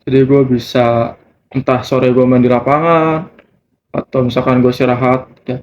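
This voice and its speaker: A man's voice: medium pitch, level tone, normal speed. Muffled young man chatting casually. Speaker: student interviewee in a group discussion. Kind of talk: casual chat, slangy. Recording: muffled, noisy lo-fi recording.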